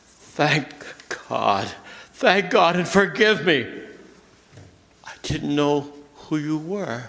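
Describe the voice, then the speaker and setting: Male actor as grieving night driver, high voice, halting delivery, radio drama, long hall reverb. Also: lively intonation, creaky, pushed and bright, heavily acted. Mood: astonished, emotional. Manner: halting, stammering, repeating words. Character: grief-stricken, embittered man, a night driver, softening. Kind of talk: stage radio drama acting. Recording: live stage performance, long hall reverb.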